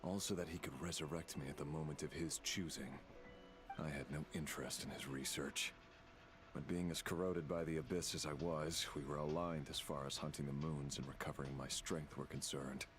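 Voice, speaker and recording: An actor playing a brooding, remorseful fallen villain in a video game, speaking slowly and solemnly with halting phrases. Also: male voice, very deep voice, steady tone. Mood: grave. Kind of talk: dramatic video game dialogue. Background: music.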